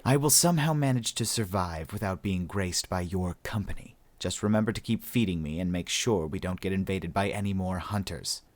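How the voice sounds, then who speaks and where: A man's voice: low-pitched, fairly quick, few pauses, dry recording, lightly acted. A man voicing an irritable, haughty alien prisoner in a sci-fi audiobook, formal and biting. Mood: irritable sarcasm.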